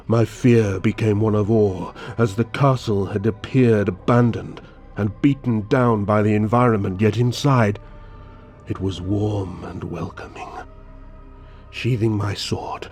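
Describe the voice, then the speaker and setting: A man playing a courteous but menacing vampire count, narrating his tale and speaking slowly, formally and calmly. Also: on the low side, creaky. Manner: slow, formal, calm and politely threatening. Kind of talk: first-person storytelling and audio drama.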